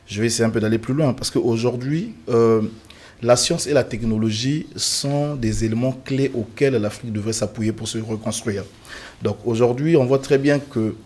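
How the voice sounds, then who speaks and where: A man's voice: mid-range voice, darkish tone. A man from the Republic of the Congo, a guest on a televised panel, speaking earnestly and emphatically with frequent fillers and restarts.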